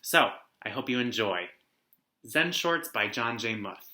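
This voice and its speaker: A young man hosts a casual read-aloud for students. His voice is bright and mid-pitched. The sound is roomy, as if recorded in a living room. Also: lively intonation, a little slow. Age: young adult. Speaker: read-aloud host, teacher. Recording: roomy indoor echo.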